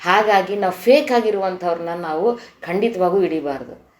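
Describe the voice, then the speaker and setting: A woman's voice: lowish voice, normal speed. A woman from gives an informal spiritual discourse, explaining verses in an earnest, conversational way. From Karnataka, India. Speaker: devotional speaker. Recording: echoey room recording.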